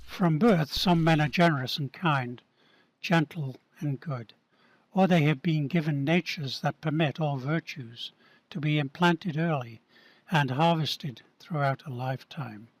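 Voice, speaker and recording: A man voicing an earnest male preacher in an amateur radio play, speaking slowly and deliberately. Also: fairly high pitch, no echo. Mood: earnest, preaching. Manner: slow, deliberate sermon-like delivery. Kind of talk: amateur radio drama reading.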